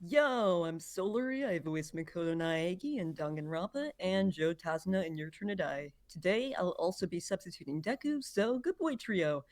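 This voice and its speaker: A woman's voice: lowish voice, expressive, moderate pace, hardly any pauses, darkish tone. A voice actor with a female-sounding voice, nervously and casually introducing themself on a panel.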